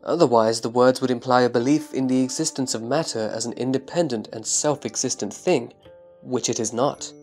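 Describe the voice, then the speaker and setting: Thoughtful male disciple, played by a man, reverent, deferential, careful, medium pitch, audio drama recitation, music underneath. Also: fairly quick, a little mellow, close to an everyday voice. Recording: music behind the voice.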